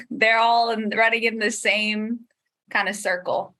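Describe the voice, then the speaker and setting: A woman's voice: on the high side, little pitch movement, unhurried, bright, forceful tone. A young woman chatting casually as a panel guest, explaining her points like a teacher.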